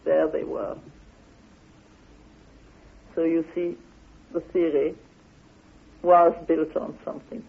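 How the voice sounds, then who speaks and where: A woman recounting an expedition in an emphatic, halting way, as a spoken anecdote. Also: low voice, little pitch movement, gravelly, slightly rough. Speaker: explorer and theorist recounting her expedition. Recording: tinny band-limited old radio recording.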